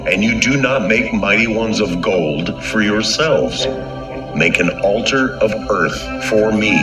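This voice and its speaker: Casting: male actor for a commanding, solemn deity in scripture drama, deepish voice, reverberant, music underneath. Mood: solemn instructing. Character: commanding male God, solemn and stern lawgiver. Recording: echoey reverb with music behind.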